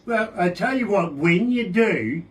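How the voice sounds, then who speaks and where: A middle-aged man with a New Zealand accent hosting a radio interview, telling deadpan jokes with slow, drawn-out setups. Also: high-pitched. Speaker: radio show host. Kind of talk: casual joking interview.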